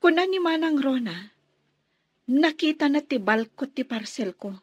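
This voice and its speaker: A woman's voice: sits high, animated, unhurried, no room sound, muffled recording. An actress playing a devoted young woman in an Ilocano radio drama, a hurt but trusting daughter and sweetheart. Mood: hurt, dismayed.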